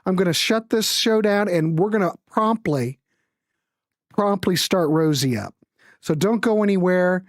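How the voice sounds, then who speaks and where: A woman's voice: on the low side, expressive, a little slow, no room sound, clean studio sound. A woman hosting a music show, chatting casually about records in a rambling, jokey, informal way with viewers.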